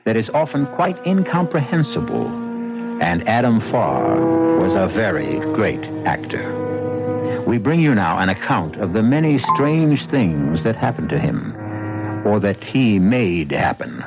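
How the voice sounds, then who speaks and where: A man hosting an old-time radio mystery series with deliberate, suspenseful, theatrical scripted narration. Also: lowish voice, sing-song. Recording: dull vintage radio broadcast. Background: loud dramatic music.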